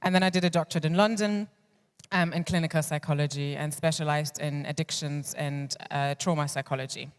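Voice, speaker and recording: A German-born woman in her thirties, a clinical psychologist, speaks at a conference in a smooth, resonant voice. Her delivery is candid and reflective, with frequent restarts, and long reverb from a large hall trails after her words. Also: deep voice, fairly even intonation, fairly quick. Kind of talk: personal conference talk.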